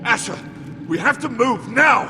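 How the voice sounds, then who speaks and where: A man's voice: extremely high pitch, lively intonation, a little slow, long reverb tail. An actor playing an anxious male adventurer fleeing danger in a video game drama, calling out urgently. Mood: alarmed. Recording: game audio, very loud noise and clamour.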